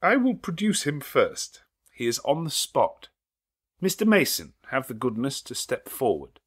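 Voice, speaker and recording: A man's voice: mid-range voice, huge pitch swings, measured pace, slightly rough, voice pushed up, heavily acted. A man voicing a formal middle-aged male solicitor with a nasal, precise, official way of reading out papers.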